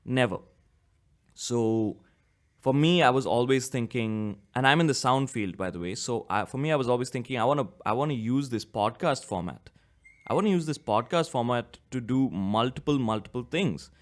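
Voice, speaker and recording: A man's voice: medium pitch, animated, normal speed. A man in his twenties with a slight cough hosts his own solo podcast, rambling casually and correcting himself. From India. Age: 20s. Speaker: podcast host.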